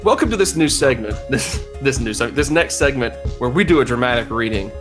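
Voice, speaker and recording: A man's voice: mid-range voice, expressive, a little fast, over music. A man hosting a comedy podcast in casual banter, joking dryly with a mock-announcer streak. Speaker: podcast host.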